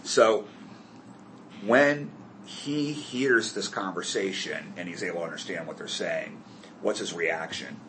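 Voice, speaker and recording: A man's voice: deep voice, unhurried, pushed and bright, a little hiss or hum, low-quality recording. A man leading a group Bible study discussion, asking the group questions and correcting himself as he goes.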